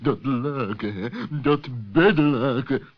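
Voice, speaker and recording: A man's voice: medium pitch, slow. A man voicing a wary, slightly sheepish male fox in a children's radio play, curt and guarded. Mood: sheepish, defensive. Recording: dull, lo-fi old recording.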